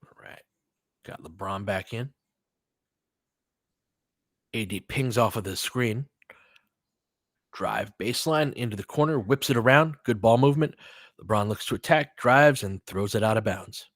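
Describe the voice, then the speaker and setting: A man's voice: fairly low pitch, measured pace, long pauses, a bit bright, dry recording, clean studio sound. Man calling basketball in clipped, informal phrases. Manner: clipped phrases mixed with conversational fan asides. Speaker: basketball play-by-play commentator and analyst. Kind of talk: informal live play-by-play commentary with coaching analysis.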